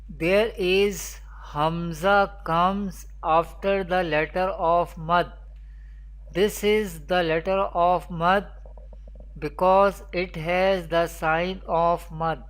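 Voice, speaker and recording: A teacher delivers a scripted lesson on recitation. The pace is slow and measured. The manner is didactic, posing questions and restating the answers step by step. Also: mid-range voice, rough recording. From Pakistan. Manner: didactic, repetitive.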